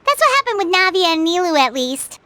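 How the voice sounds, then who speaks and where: A woman voicing a small, talkative fairy-like girl companion in a video game, squeaky and childlike, exclamatory and easily indignant. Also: big pitch swings, fairly quick, pushed and bright, no room sound, heavily acted. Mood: curious, chatty. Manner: refers to self in third person, exclamatory. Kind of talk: animated video game character acting.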